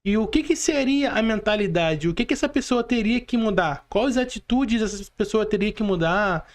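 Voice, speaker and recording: Male podcast host, Brazilian accent, notably high pitch, casual interviewer who haltingly rephrases his points. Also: animated. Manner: halting, rephrases and seeks agreement.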